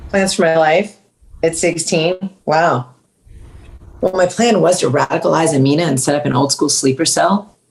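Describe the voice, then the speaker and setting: A woman's voice: sits low, steady tone, moderate pace, creaky, darkish tone, low-quality recording. A woman playing a witty, sarcastic sixteen-year-old girl at a script table read, dry and flippant. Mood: dry sarcasm.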